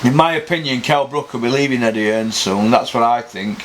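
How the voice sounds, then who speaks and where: A man's voice: medium pitch, fairly quick, slight background noise. A man hosting his own boxing talk show, ranting bluntly with lots of swearing and rhetorical questions. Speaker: boxing pundit host. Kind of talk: opinionated casual rant.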